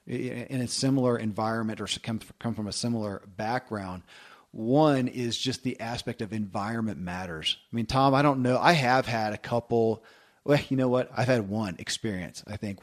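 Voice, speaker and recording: A man hosting a podcast, chatting casually and telling stories with lots of fillers and restarts. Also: lowish voice. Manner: talkative, many fillers and restarts. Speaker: podcast host. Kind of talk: casual chat reading listener stories.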